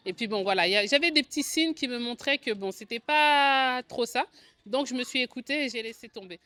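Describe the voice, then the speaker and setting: A woman's voice: on the high side, a little fast, bright, forceful tone. A woman in her forties with a French accent from France tells her own story in a conversational, explanatory vlog style. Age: 40s. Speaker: video channel host, agency co-founder. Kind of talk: personal vlog storytelling to camera.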